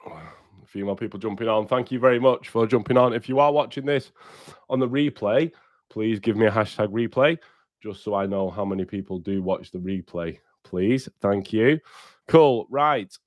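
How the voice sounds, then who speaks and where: A man's voice: lowish voice, normal speed, dry recording. A man hosting a casual livestream podcast while bunged up with a cold. He is blunt, sweary and upbeat, and talks straight to his viewers. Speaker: podcast host.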